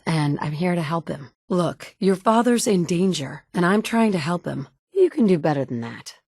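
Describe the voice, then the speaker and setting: A woman's voice: on the low side, darkish tone, dry recording, studio quality. An actress voicing a confident, wry female survivor in a video game, delivering blunt, self-assured lines. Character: confident, wry adult woman wasteland survivor. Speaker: player character in a video game.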